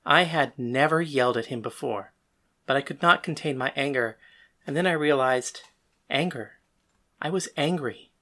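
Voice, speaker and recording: Death god, narrating lead in audio drama: female actor, deep-pitched, brooding, bitter, defiant. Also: moderate pace, fairly bright tone. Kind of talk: first-person dramatic narration and dialogue.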